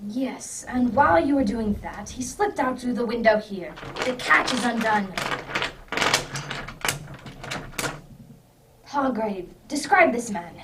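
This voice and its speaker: A woman playing a brisk, commanding, inquisitive male detective in an amateur radio drama. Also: fairly high pitch, lively intonation, recorded from a distance, background noise. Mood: urgent.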